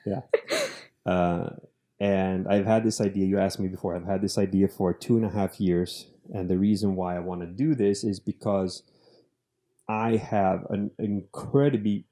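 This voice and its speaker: Man, host, low voice, mellow tone, earnest, casual interview. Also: steady tone, moderate pace. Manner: earnest, thinking aloud. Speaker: podcast host.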